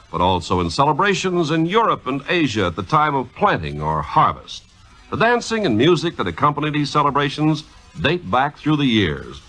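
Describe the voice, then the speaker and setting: A man's voice: medium pitch, sharp tone, poor audio. A man reading scripted 1950s radio narration in a formal, declamatory manner. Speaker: radio intermission speaker.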